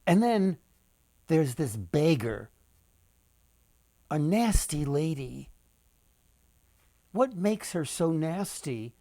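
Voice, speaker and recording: Older man, children's storyteller, unhurried pace, theatrical pauses, deliberate delivery, dry sound. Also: fairly high pitch, very animated, warm tone, dry recording. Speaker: author and storyteller. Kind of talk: storytelling read-aloud for children.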